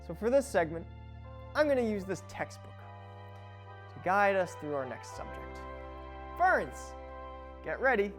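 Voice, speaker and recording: A woman's voice: medium pitch, very animated, a little slow, long pauses, soft background music. A woman playing a breezy, rebellious host of a plant show, with a casual, theatrical manner and exasperated asides. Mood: chirpy, conspiratorial. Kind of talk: comedic educational show presenting.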